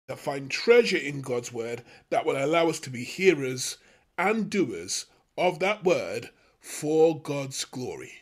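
Husky, higher-pitched male Bible teacher. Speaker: host of a Bible study show. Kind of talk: scripted teaching narration.

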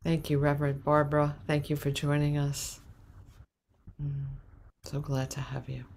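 A middle-aged woman minister hosting an online prayer vigil, speaking gently and calmly over a video call. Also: sits low, creaky, a little hiss or hum. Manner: gentle, unhurried, calm. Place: home room with candles, indoors. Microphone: video call webcam.

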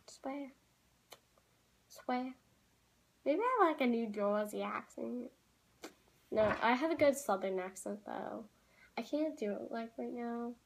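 A teenage girl chats to a camera in a small room at home, her voice sitting a little high. She rambles loosely, stringing thoughts together with "like" and apologizing as she goes. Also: lively intonation, creaky. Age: teens. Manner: chatty, rambling, with many "like"s and quick apologies. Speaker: vlogger. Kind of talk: casual chat to camera.

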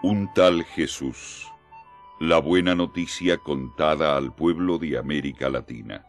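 A man reading programme credits in a measured, formal announcer style. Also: very deep voice. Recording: clear music behind announcer.

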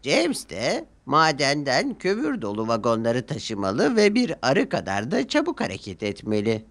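A man voicing a prim, bossy lady who gives out orders slowly and carefully in a cartoon. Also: medium pitch, huge pitch swings, a bit bright, voice pushed up. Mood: instructing. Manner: slow, precise instructions. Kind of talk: cartoon dubbing.

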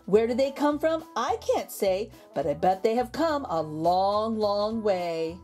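A woman reading a picture book aloud to young children, with expressive, exaggerated intonation and drawn-out words. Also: mid-range voice. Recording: background music under the voice. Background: music.

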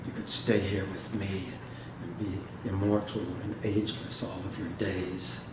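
A man giving a dramatic literary reading and talk to an audience, speaking in a measured way with pauses. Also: low voice, level tone. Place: indoor hall, seated before an audience. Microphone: microphone on a stand, recorded by a distant camera. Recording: echoey room, noisy background.

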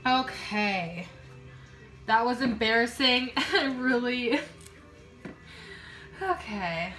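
A woman's voice: on the high side, lively intonation, very slow, a bit bright, faint music behind. A young woman chatting informally and self-deprecatingly to a camera in a home kitchen, as a casual vlogger. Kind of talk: casual chat to camera while cooking.

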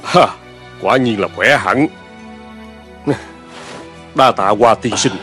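A man's voice: fairly low pitch, slow pace, bright, forceful tone, soft background music. A man playing a bold, unflinching warrior general in a dubbed historical cartoon, commanding and assured. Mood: hearty.

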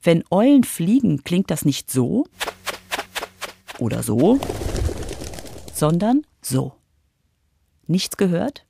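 A woman's voice: on the low side, very animated, slow pace, gravelly, long pauses, background noise. A woman narrating a scripted children's radio feature as its reporter.

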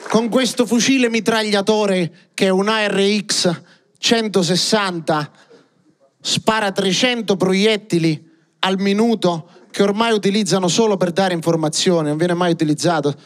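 A man in his thirties performing an animated stand-up set on a club stage into a handheld mic. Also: high voice, moderate pace, a faint crowd. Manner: animated, acts out scenes with gestures. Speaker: stand-up comedian. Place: comedy club stage with small audience. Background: audience laughter.

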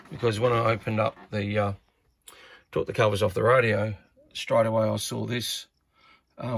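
Husky, gravelly man talking shop. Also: medium pitch. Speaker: radio repair hobbyist presenter. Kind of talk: casual hands-on repair commentary. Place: indoors at an electronics workbench.

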